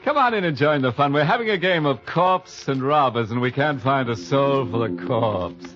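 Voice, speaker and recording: An actor playing a jovially macabre, mockingly sinister horror show host in 1950s radio style, with a drawn-out, teasing delivery. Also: male voice, medium pitch, expressive, close to an everyday voice. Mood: macabre glee. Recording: muffled old radio recording with music.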